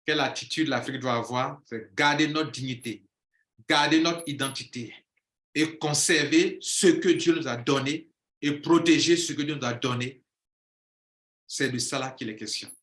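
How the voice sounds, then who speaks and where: A man's voice: medium pitch, moderate pace, a bit bright, reverberant. A man speaking as a coach in an impassioned monologue, declaiming and repeating phrases for emphasis.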